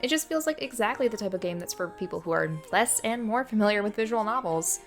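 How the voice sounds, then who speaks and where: A woman's voice: medium pitch, animated, fast talker. A woman giving casual review commentary as a video host, chatty, opinionated and self-deprecating. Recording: voice-over with clear background music. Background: music.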